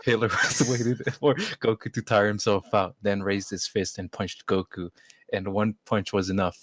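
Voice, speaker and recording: A man's voice: on the low side, little pitch movement, brisk. A man reading a story aloud informally, amused and stumbling over some words. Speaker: amateur reader. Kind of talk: casual read-aloud of a story.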